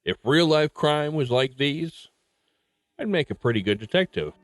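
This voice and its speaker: A man hosting a podcast, reading a conversational outro in a relaxed way. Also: fairly high pitch, normal speed. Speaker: podcast host.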